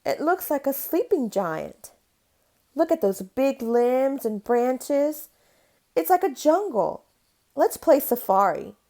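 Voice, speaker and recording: A woman's voice: high-pitched, big pitch swings, takes it slowly, warm tone, pitched above the performer's own voice, heavily acted. Woman reading as an adventurous, earnest storybook boy. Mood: excited.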